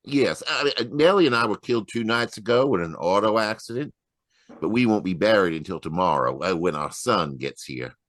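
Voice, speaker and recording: A man's voice: fairly low pitch, animated, a little fast, a bit bright, dry recording. A man acting in a radio mystery as a mild, apologetic dead husband with a hesitant, folksy manner. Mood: mild, explanatory.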